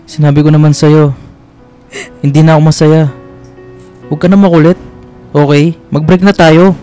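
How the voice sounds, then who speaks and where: An actor playing a cold, resolute young boyfriend in a radio drama, speaking firmly and with restraint. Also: male voice, a little high, normal speed, lightly acted. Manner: flat, firm, restrained. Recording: music bed under the voice.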